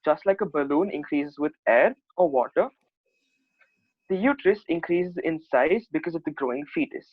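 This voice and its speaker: A man's voice: on the high side, lively intonation, moderate pace, dry recording. A man giving an explanatory lecture as a presenter, heard over an online call. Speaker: presenter teaching anatomy. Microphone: online video call mic. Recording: thin phone-like online call audio.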